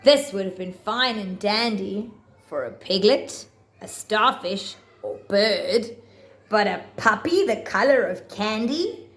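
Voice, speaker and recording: A woman reading rhyming picture books aloud to young children with theatrical, deliberate phrasing. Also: medium pitch, expressive, a bit bright. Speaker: children's storybook reader.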